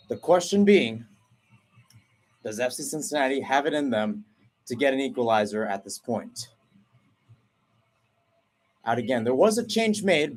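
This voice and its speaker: A young man calling a soccer match play-by-play in a radio style, brisk and excitable, working remotely away from the stadium. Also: on the high side. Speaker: play-by-play commentator. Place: remote broadcast from home setup.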